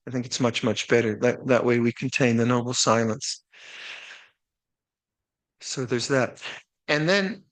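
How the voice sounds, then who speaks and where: An older man, a Buddhist monk, giving opening instructions at a meditation retreat in a reflective, plainspoken way with gently self-deprecating humor. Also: medium pitch, no room sound, poor audio. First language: English. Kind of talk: dharma talk, opening instructions.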